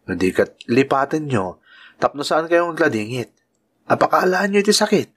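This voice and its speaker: A man's voice: a little high, very animated, normal speed, a bit bright, breathy edge, full character voice. A man with an Ilocano accent playing a kindly, earnest uncle and working man in an audio drama. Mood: gentle concern. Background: music.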